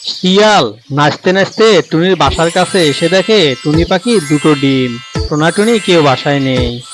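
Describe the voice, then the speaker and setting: A man's voice: on the high side, expressive, normal speed, over music. A man narrating a scripted children's story as the storyteller.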